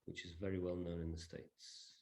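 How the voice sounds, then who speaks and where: A man's voice: low voice, level tone, normal speed, creaky, warm tone. A man presenting an informal live product demonstration, explaining things in a hesitant way. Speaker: presenter demonstrating a digital library. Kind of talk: live product demo to an audience.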